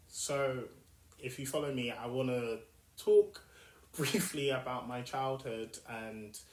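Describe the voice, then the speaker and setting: A young man with a South London accent speaks at a medium pitch, thinking aloud as he hosts his own podcast. He pauses often, restarts his sentences and searches for words. The room around him sounds echoey. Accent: South London English. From South London, England. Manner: thoughtful, with frequent fillers and restarts. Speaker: podcast host. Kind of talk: reflective solo podcast monologue.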